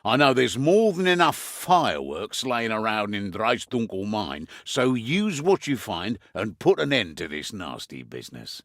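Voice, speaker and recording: An actor playing a gruff, wry middle-aged soldier spymaster with a British accent and gravelly voice, briefing troops in a fantasy video game. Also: male voice, fairly low pitch, sing-song, a little slow, hardly any pauses, a bit bright, dry recording, clean studio sound, full character voice. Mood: grim, wry. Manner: sardonic, colloquial, theatrical.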